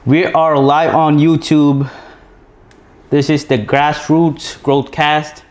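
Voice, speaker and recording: A man's voice: fairly high pitch, little pitch movement, slow. A young man from Sri Lanka co-hosting a live podcast in a casual, rambling way, full of restarts and fillers. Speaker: podcast co-host.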